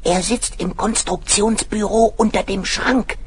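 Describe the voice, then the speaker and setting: Ein Mann spielt in einem Kinderhörspiel ein Zeichentricktier, das bestimmend und beruhigend die Wache anführt. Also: male voice, sits high, fairly even intonation, a little slow, slight background noise. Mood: reassuring, conspiratorial. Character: calm reassuring cartoon animal leading a guard team. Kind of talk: children's audio play acting.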